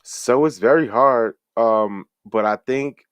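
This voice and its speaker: A middle-aged man, a fellow streamer, answering interview questions in a friendly, reflective, halting way. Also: mid-range voice, smooth, no room sound, clean studio sound. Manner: rambling, halting, many restarts and fillers.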